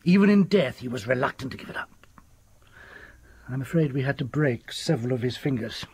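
An actor playing a haunted, desperate older doctor in a radio drama, telling things in a halting, theatrical way with a husky, creaky voice. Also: male voice, medium pitch, expressive, darkish tone, no room sound. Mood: grim, ominous.

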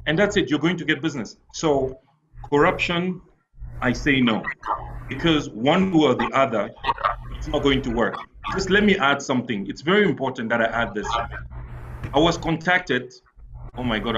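A man's voice: mid-range voice, fairly bright tone, a little hiss or hum. Earnest man from Cameroon on video call. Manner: earnest, frank, repeats phrases for emphasis. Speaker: entrepreneur panelist. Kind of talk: candid storytelling on a webinar panel. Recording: dull lo-fi video call audio.

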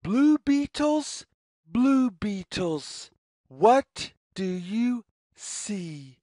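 A woman reads a picture book aloud for young children at an extremely slow pace. Her pitch swings widely in a sing-song pattern, with deliberate pauses between phrases. The recording is dry and close, with little sense of a room. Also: sing-song, warm tone, no echo. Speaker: storyteller reading aloud to children.

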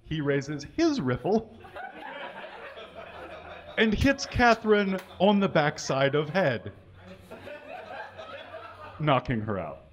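A man giving a live comedic reading of fan fiction on a small stage, mostly dramatic with deadpan asides, reading punctuation aloud. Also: on the high side, takes it slowly, long pauses, a crowd in the background. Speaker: comedic reader. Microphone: stand mic. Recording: dull, lo-fi live recording.

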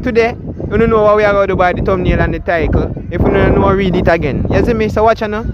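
A man's voice: sits high, quick pace, heavy background noise. A young man with a Jamaican accent, hosting casual street interviews for his channel and repeating hype catchphrases. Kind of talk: casual vox-pop interviewing. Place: outdoors on town streets and in shops. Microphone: handheld phone held near mouth. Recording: muffled lo-fi with loud background music.

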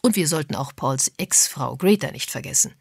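A woman's voice: a bass voice, expressive, normal speed, gravelly, husky, dry recording, studio quality, exaggerated voice. A woman voicing a composed, practical man, a methodical amateur sleuth in an audiobook. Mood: brisk, methodical. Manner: methodical, explanatory.

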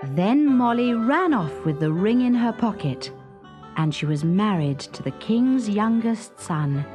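A woman narrating a children's story in a dramatic, expressive, suspenseful storybook style. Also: mid-range voice, unhurried, music in the background.

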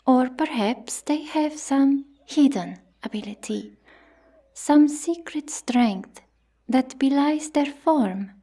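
A woman's voice: sits high, slow pace, warm tone, full character voice. A woman voicing a suspicious, scolding Amazon guard in an ASMR roleplay, with formal, disapproving delivery.

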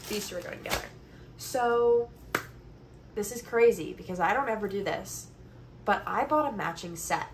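A young woman with a slightly stuffed-up, congested voice talks in a chatty, informal vlog style. Her pitch is average for a woman. It is recorded on a phone in a bedroom, with clear background noise. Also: normal speed, long pauses. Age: 20s. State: congested from allergies. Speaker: vlogger. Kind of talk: casual haul chat. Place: bedroom, indoors. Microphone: phone camera at about arm's length or further.

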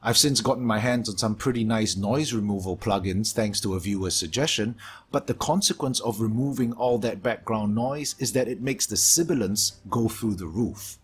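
A man from Singapore with a Singaporean English accent, reading a scripted gaming Q&A voice-over in a steady, measured way from his home hobby room, his s sounds sharp and a low hum behind him. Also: breathy edge. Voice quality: strong sibilance, low hum from aquarium pumps. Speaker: gaming YouTuber.

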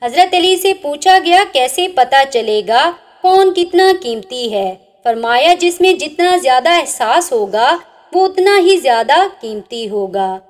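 Female narrator, high and melodic, for slow recitation of religious sayings with gently falling line endings. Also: expressive. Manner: measured recitation, each saying ends on a dropping, softer note. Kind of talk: reading inspirational religious sayings over slides.

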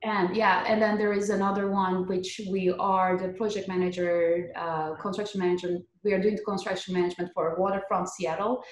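A woman's voice: lowish voice, flat delivery, brisk, few pauses, a little hiss or hum. A woman in her thirties with a Turkish accent, giving conversational interview answers as an engineer on a podcast. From Turkey. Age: 30s. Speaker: podcast guest, geotechnical earthquake engineer. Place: living room at home, indoors. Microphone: computer mic on a video call. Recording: roomy echo on video call.

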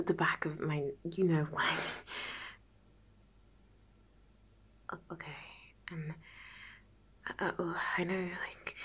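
A woman playing a nervous, giddy young woman in an ASMR roleplay, stammering softly and often whispering in a halting, giggly way. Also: fairly low pitch, exaggerated voice. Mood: nervous, shy. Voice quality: soft, breathy, often whispered. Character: nervous, giddy young woman before and after first kiss.

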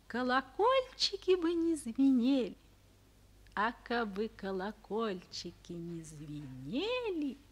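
Haughty music-box princess, extremely high voice, very slow sing-song scolding, old radio drama, music behind. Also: female voice, fairly bright tone, full character voice. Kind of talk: 1940s radio play acting.

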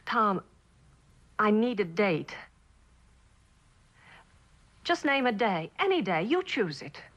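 An actress playing a lonely, neglected wife in a mid-century television drama, plaintive and halting, with a bitter edge. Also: female voice, medium pitch, big pitch swings, a bit bright, highs cut off. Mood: pleading.

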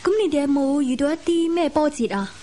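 A woman's voice: high voice, fast talker, mellow, a little hiss or hum, natural voice. An actress playing a curious female listener who eagerly questions a storyteller in a radio drama.

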